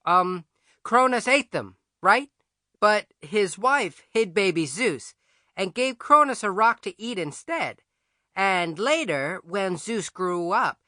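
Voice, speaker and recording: An earnest twelve-year-old schoolboy acted out by a man, raised in pitch and bright in tone. He speaks haltingly and questioningly, as if flustered in class, in a children's audiobook. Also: no room sound, clean studio sound, exaggerated voice.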